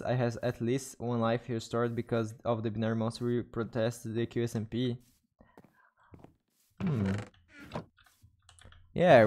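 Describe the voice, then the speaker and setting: A man's voice: mid-range voice, a little mellow, a little hiss or hum. A young man with a Brazilian Portuguese accent, talking to his live-stream chat while he plays. His English is his second language, so he often stops, repeats himself and hunts for the right word. He speaks into a desk microphone in a small room. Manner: halting non-native English, restarts and searches for words. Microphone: studio mic on arm, headphones.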